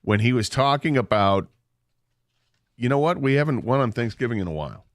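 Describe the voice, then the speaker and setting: A man co-hosting a sports talk radio show, arguing his points in a measured way. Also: medium pitch, sing-song, dry recording, studio quality. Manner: measured, argumentative. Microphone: studio microphone.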